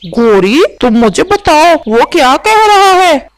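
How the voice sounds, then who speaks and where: A woman's voice: sits high, very animated, slow pace, exaggerated voice. A woman voicing an indignant, hot-tempered male bird in a children's cartoon story. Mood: suspicious. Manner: indignant, demanding, easily provoked. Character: proud, touchy, hot-tempered male parrot and pigeon. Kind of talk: animated children's moral story dialogue.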